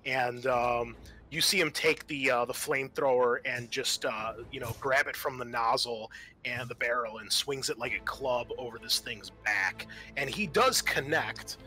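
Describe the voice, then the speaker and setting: A man with a bright, forceful voice at a middle pitch runs a tabletop game. He narrates casually and thinks aloud, with faint music underneath. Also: a little slow, rough recording. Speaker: game master.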